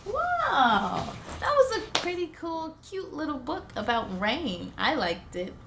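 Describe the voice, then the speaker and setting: A woman's voice: high-pitched, very animated, slow pace, gravelly, background noise. A woman hosting a children's story time in a sing-song way, talking directly to young children.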